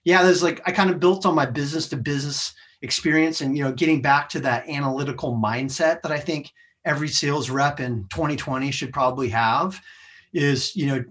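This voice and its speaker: A man's voice: on the high side, a little fast, fairly bright tone. A man with an American accent speaking as a podcast guest in a conversational, explanatory way, often adding small checking tags. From United States. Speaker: podcast guest, sales professional. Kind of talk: conversational interview, explaining career lessons.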